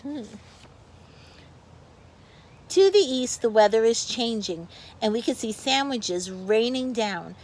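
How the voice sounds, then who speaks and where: A woman's voice: a little high, expressive, slow, long pauses, a little mellow. A woman teacher reads a picture book aloud to young children, expressively, with explanatory asides to her pupils.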